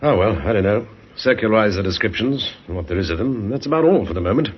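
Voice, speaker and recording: An actor playing a businesslike, authoritative police inspector who asks questions in a vintage radio drama. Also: male voice, lowish voice, lively intonation, quick pace, a little hiss or hum, close to an everyday voice. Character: businesslike male Scotland Yard police inspector. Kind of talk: 1950s radio drama acting. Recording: dull vintage 1950s radio recording.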